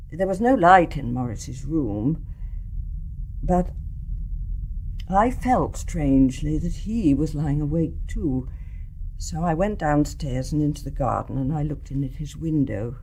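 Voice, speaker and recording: An actress playing a grave, resolute older British mother in a radio drama, speaking in a measured, refined and deliberate way. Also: deep voice, lively intonation, darkish tone, a little hiss or hum, heavily acted, poor audio. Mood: grave, reflective. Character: grave, resolute older English mother confessing her deed.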